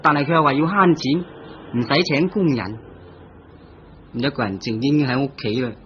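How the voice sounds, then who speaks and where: A man's voice: medium pitch, normal speed, fairly bright tone, natural voice. Cast: man as sulky, defensive radio-play husband, mid voice, old muffled broadcast with faint hiss. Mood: grumbling. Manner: grumbling, defensive. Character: irritable, tight-fisted husband, murder suspect. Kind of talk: vintage radio drama acting.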